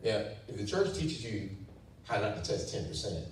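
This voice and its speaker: Low-voiced pastor in an echoey hall. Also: male voice, big pitch swings, normal speed, recorded from a distance, a little hiss or hum. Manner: casual, repeats questions to the crowd. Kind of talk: sermon talk with the congregation. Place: church hall. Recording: echoey church hall, mic from afar.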